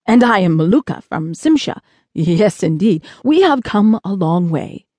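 A woman's voice: mid-range voice, sing-song, measured pace, dark tone, no room sound. Woman doing a jolly, emphatic chubby spaceman. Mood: jovial. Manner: emphatic, jovial. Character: chubby, jolly, excitable spaceman, eager to agree.

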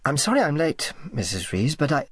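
A man voicing a timid, unhappy orphaned girl who speaks quietly and hesitantly. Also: fairly high pitch, very animated, fairly quick, husky, no room sound, clean studio sound, full character voice.

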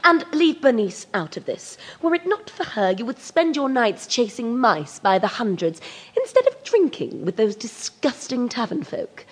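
An actress playing a middle-aged housekeeper in a period radio drama, a cold, sharp-tongued wife with an imperious, withering manner. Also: female voice, on the high side, very animated, moderate pace, slightly rough. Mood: scornful.

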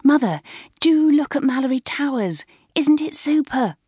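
An eager schoolgirl in her early teens, acted by a woman narrator, pitched well up from the reader's low register. She gushes in old-fashioned slang in a storybook, recorded dry. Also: lively intonation, moderate pace, mellow, no room sound. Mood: excited. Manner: exclaiming, gushing schoolgirl slang.

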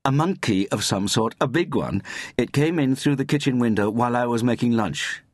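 Guy doing a bossy indignant english wife for an audiobook, voice pushed higher, bit husky, very proper. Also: lively intonation, a little fast, no room sound. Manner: snappy, emphatic, proper. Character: feisty, indignant English wife, brisk and no-nonsense. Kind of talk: audiobook character voice.

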